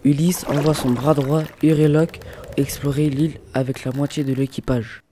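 A man's voice: a little high, flat delivery, moderate pace, dark tone, slight background noise. A man reading scripted narration of an adventure story as narrator.

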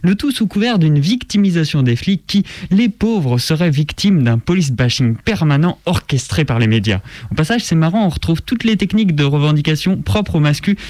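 A man's voice: fairly high pitch, darkish tone, no echo. A man presenting scripted political commentary on the radio with a sardonic, critical edge.

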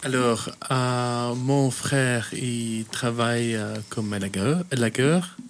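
A man's voice: mid-range voice, slow pace, a little hiss or hum. Hesitant young man in his twenties. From Kenya. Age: 20s. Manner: hesitant, many fillers and restarts. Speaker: youth worker, interview guest. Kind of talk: casual interview answers.

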